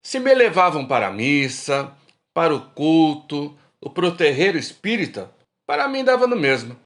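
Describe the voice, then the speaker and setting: A middle-aged guy acted by a man in a radio play, cautious yet teasing with his old friends. His voice is on the high side for a man. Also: sing-song, normal speed, a bit bright. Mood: confessional. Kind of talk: radio drama acting.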